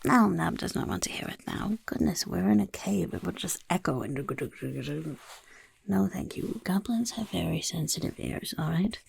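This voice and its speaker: A woman voicing a sly, self-important goblin overlord who interviews recruits in soft-spoken ASMR roleplay, whispering and talking about itself in the third person. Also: lowish voice, animated, moderate pace, no room sound, full character voice. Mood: scheming. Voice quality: gravelly.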